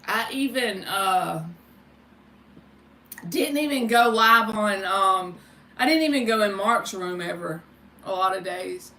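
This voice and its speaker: Female tarot livestreamer, informal and chatty, sharp bright tone, echoey room with faint noise. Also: mid-range voice, animated, slow, long pauses. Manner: informal, chatty, addresses viewers by name, mild swearing. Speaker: tarot card reader and livestream host. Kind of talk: casual livestream chat with spiritual tarot readings. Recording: roomy, distant mic with faint noise.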